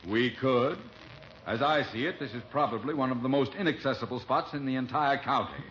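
An actor playing a pompous, sarcastic, excitable gentleman in old-time radio comedy style. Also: mid-range voice, brisk, close to an everyday voice. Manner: pompous, sardonic, melodramatic. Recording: dull old radio recording, some noise.

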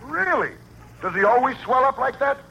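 A fussy, flattering tailor from an old radio comedy gushes over his customer with sly digs. The voice sits high and swoops up and down. A thick hiss runs under it. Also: normal speed, creaky, dull audio, heavily acted. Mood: gushing. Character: flattering fussy male tailor, middle-aged. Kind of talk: 1940s radio comedy acting. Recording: hissy old radio broadcast recording.

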